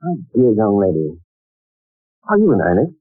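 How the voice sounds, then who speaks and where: A genteel, hesitant old office boss, pitch rising and falling widely; tinny vintage radio play sound. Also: male voice, wildly swooping pitch, normal speed, dry recording. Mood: formal, fussy. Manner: hesitant, old-fashioned formal speech full of "uh". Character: courteous fussy old company boss stuck in the past. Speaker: office manager interviewing a typist. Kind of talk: 1940s radio acting.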